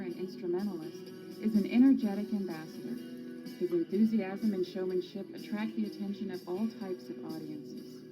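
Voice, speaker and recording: A woman's voice: medium pitch, poor audio. A woman reading scripted promotional narration in an upbeat, polished way. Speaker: narrator of a software demo. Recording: archival clip with music behind. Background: music.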